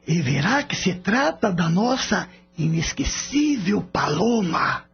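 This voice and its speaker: A wise, patient spirit mentor played by a man in a radio drama. The voice is high for a man and swoops expressively, with a husky grain. The recording is a bit dull. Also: big pitch swings, slow pace, heavily acted. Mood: patient, reverent. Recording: dull lo-fi audio with background music.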